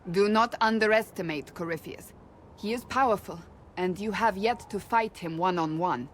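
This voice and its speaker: A woman's voice: mid-range voice, normal speed, a little hiss or hum, close to an everyday voice. An actress voicing a composed, devoted warrior woman in a video game, speaking formally and earnestly. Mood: grave. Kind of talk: video game character acting.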